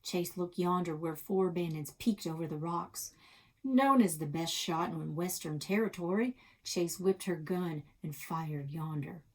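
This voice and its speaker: A woman's voice: lowish voice, measured pace, poor audio. A woman narrating a children's story expressively as a library read-aloud host.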